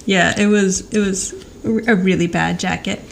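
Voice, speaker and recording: A woman's voice: mid-range voice, steady tone, measured pace, creaky, a little hiss or hum. A woman co-hosting a podcast, casually and chattily recapping television. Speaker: podcast co-host.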